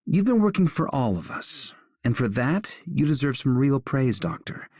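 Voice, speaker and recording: A man's voice: medium pitch, very animated, normal speed, a little mellow, husky, no echo, studio quality. A man voicing a gracious, courteous male operator in scripted video game lines. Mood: warm, gentle.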